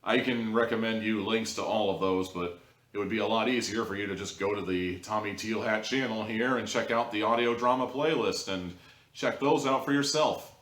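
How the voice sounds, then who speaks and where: Male reviewer, lower register, wry and rambling, casual commentary with mock-dramatic stresses, roomy distant sound. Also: normal speed, fairly bright tone. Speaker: audio drama reviewer, show host. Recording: roomy echo, distant mic.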